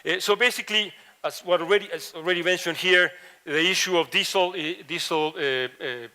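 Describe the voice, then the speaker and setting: A man's voice: high voice, a little fast, sharp tone. A middle-aged man from Mexico and Ecuador with a Latin American Spanish accent, giving a conference panel talk with many fillers and restarts. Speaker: professor, panel speaker.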